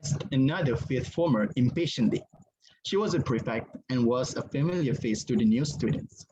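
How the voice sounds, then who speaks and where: A man reads a story aloud as a dramatic narrator. His voice sits at a medium pitch, and the recording is dull, with some background noise. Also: animated, moderate pace, dark tone.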